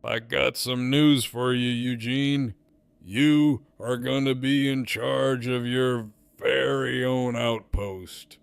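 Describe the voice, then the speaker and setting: A man acts out an intimidating gang boss for an audio drama. He takes his time over every profane phrase, sardonic and smug, with a gravelly creak under the voice. The sound is intimate and dry. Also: medium pitch, fairly even intonation, dry recording. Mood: smug, commanding. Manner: slow, drawling, deliberate, profane.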